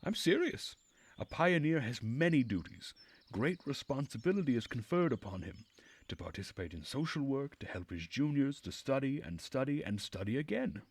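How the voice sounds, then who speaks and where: Guy doing a bossy earnest camp leader lady, lecturing, higher pitched, visual novel style. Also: very animated, moderate pace, a little mellow, slightly rough, dry recording. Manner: scolding, lecturing.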